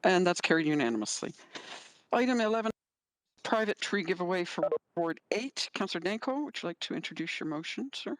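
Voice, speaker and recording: A woman chairing a council committee, running through votes and motions in a brisk, procedural way. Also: on the low side, very animated, dry recording, rough recording.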